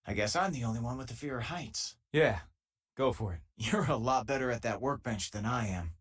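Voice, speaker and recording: A man voicing an easygoing, wry spacefaring crewmate in a video game, with a husky voice and a casual, conversational delivery. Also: mid-range voice, brisk, a bit bright. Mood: relaxed, wry. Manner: casual, conversational, dryly joking. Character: easygoing, wry male spacefaring crewmate, loyal and self-deprecating. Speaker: game companion character. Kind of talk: video game voice lines, naturalistic acting.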